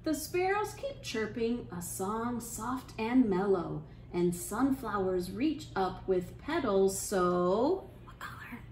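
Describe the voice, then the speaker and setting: A woman hosting an interactive children's storytime, speaking in an encouraging, sing-song way and pausing with questions for young listeners. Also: medium pitch, very animated, a little mellow, reverberant, slight background noise, rough recording. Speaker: children's storytime librarian and host. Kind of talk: interactive children's storytime, reading aloud and leading songs.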